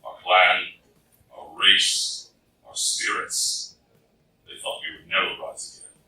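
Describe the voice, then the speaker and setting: A man's voice: low-pitched, slow, pushed and bright, full character voice. An actor playing a proud, stern male gargoyle clan leader with a solemn, declamatory manner in a radio play. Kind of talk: radio play acting before a live audience. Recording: echoey hall fan recording from far off.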